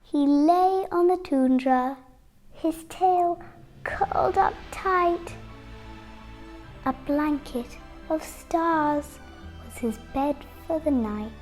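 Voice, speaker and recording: An eight-year-old girl reading a children's picture book aloud, expressively and with deliberate pauses. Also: high-pitched, darkish tone, soft background music. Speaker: young storybook reader.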